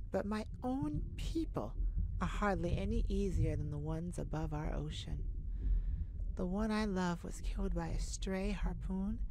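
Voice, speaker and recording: A woman's voice: lowish voice, rough recording. A woman playing a sweet, shy exiled water nymph in an ASMR romance roleplay, speaking hesitantly and in a flustered way. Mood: sad, bitter. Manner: hesitant, flustered, sighing, talking to herself and listener. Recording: loud ocean noise behind voice. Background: ocean sounds.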